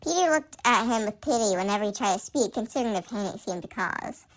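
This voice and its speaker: A woman's voice: mid-range voice, expressive, fast talker. A woman reading fan fiction aloud through a voice changer in a casual way, with sarcastic asides and frequent stumbles over the words.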